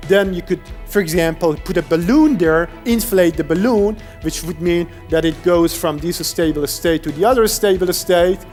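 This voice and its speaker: Male engineer, Persian native speaker, steady explanatory lecture, long hall reverb with faint music. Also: high-pitched, normal speed, few pauses. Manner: explanatory, steady, some restarts. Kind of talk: academic lecture.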